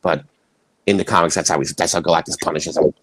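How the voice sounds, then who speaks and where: A man's voice: low-pitched, fairly even intonation, quick pace, fairly bright tone, slight background noise. A man co-hosting a casual livestream chat, rambling with many stammered restarts. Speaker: podcast co-host.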